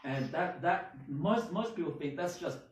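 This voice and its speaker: Hoarse Australian man, echoey from afar. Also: a little high, animated, faint music behind. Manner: halting with many restarts and fillers. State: hoarse, losing his voice. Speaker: congregation leader. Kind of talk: sermon and spoken prayer. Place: small indoor synagogue. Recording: echoey room from far off.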